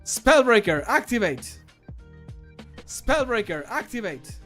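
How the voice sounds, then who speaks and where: A man's voice: very high voice, sing-song, slow, bright, forceful tone, faint music behind, pitched above the performer's own voice, exaggerated voice. A man performing game voice lines as a wry, dramatic fantasy adventurer, projected and theatrical with dry asides. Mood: battle cry.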